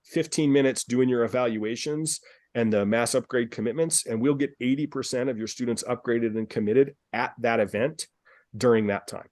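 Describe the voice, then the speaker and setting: A man's voice: medium pitch, normal speed, darkish tone, dry recording. A man hosting a live group coaching call, upbeat and persuasive, who repeats himself and calls on callers by name. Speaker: dance studio business coach, call host. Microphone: video call.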